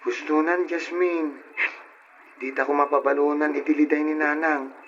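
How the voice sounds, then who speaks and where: A man playing a responsible young older brother in a radio drama, speaking in a gentle, advising way. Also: a little high, level tone, normal speed, lightly acted. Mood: resigned. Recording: dull, noisy old radio drama recording.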